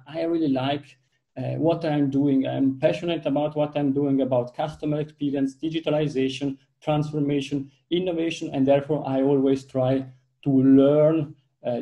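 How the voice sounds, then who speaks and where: Italian-accented man, mellow voice. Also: fairly high pitch. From Ticino, southern Switzerland. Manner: earnest, with frequent restarts and fillers. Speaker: guest. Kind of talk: casual interview talk. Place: home office.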